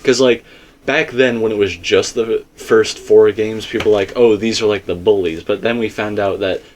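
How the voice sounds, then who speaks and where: A man's voice: fairly low pitch, steady tone, moderate pace, darkish tone, slight background noise. A man talking through a fan theory in a casual, emphatic and rambling way, full of asides. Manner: emphatic, rambling, speaks in asides and self-quotes. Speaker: video commentator and theorist.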